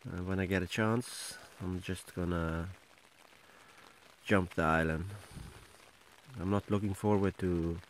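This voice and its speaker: A middle-aged man with a Norwegian accent, talking to a handheld camera in a casual camping vlog, halting with many fillers. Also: sits low, fairly even intonation, a little hiss or hum. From Norway. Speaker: outdoor vlogger. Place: inside a small tent, then outdoors by a lake. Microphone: handheld camera at close range.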